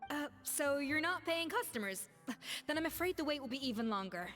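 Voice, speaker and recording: A stern young woman chef speaks in a high, sharp, cutting voice. She is brisk and exacting in anime game style, with a long reverb on the sound. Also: animated, faint music behind. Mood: curt. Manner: brisk, scolding, crisp.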